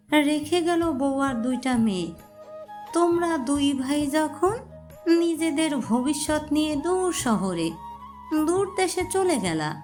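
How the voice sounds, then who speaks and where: A woman playing a stern, commanding old grandmother who heads the family in a dramatised audio story. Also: sits high. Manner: commanding, firm.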